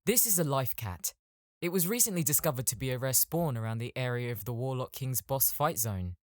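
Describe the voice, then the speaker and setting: A man acts an eager young gamer mage in a fantasy audiobook. He sounds knowing and a little showy, with a middling pitch and a close, dry recording. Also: lively intonation, brisk, no room sound, lightly acted. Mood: informative. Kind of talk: audiobook character acting.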